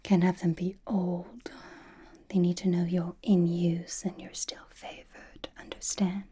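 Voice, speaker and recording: Breathy whispering vampire played by a woman. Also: lowish voice, little pitch movement. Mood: coaxing, flirtatious. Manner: intimate, slow whispering with sighs and soft hums. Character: flirtatious, possessive vampire companion, teasing and reassuring. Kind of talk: whispered ASMR roleplay.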